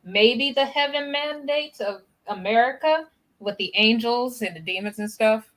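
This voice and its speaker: A woman with a slightly high voice, chatting casually in a group. She rambles and sounds unsure. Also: a little slow, a bit bright.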